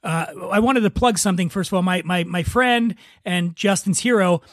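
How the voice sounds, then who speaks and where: Male podcast host, high voice, casual, joking, teasing, close dry sound. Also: normal speed, no echo. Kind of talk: casual comedic podcast chat.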